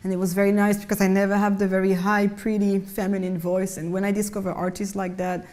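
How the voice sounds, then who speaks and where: A woman with a naturally low voice talks casually about her creative work in a panel setting. She speaks in an easygoing way and often stops and restarts her words. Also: flat delivery, fast talker, a little mellow. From Mali and France. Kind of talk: casual conversational talk.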